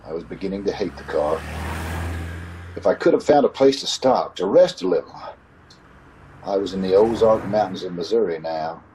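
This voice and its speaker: A man's voice: low-pitched, lively intonation, moderate pace, long pauses, mellow, low-quality recording. A man playing a haunted, uneasy motorist in an old-time radio suspense drama, recorded over a video call. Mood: brooding dread. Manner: brooding in narration, hesitant and stammering in dialogue. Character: haunted, uneasy male motorist narrating his ordeal. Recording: video call with clear background noise.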